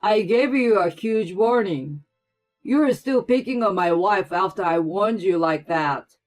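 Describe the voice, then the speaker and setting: A woman's voice: medium pitch, animated, measured pace, faint music behind, close to an everyday voice, poor audio. Woman voicing an angry stern husband. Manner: stern, loud, accusing. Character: angry protective husband, adult man.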